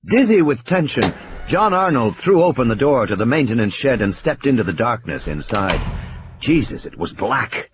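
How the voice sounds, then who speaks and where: A man's voice: mid-range voice, sing-song, moderate pace, slight background noise. A man reading dramatic, scripted audiobook narration as the narrator of a story. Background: music bed.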